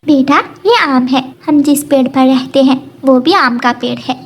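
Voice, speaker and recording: Mother sparrow played by a woman, cartoon: kind, gentle, explaining, high-pitched. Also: steady tone, a little slow, slight background noise.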